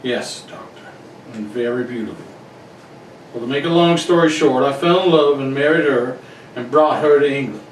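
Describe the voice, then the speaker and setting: A man's voice: medium pitch, moderate pace, close to an everyday voice. A man playing a proud, defensive wealthy husband with a blunt, formal manner in a radio play. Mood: guarded. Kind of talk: amateur radio-play acting. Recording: roomy, distant recording with faint noise.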